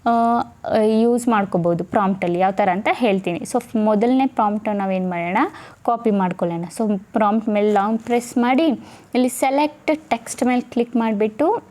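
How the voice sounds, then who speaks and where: A woman's voice: medium pitch, fairly quick, a little mellow. A woman presenting a casual step-by-step tutorial, instructing and often checking in with listeners. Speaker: tutorial host.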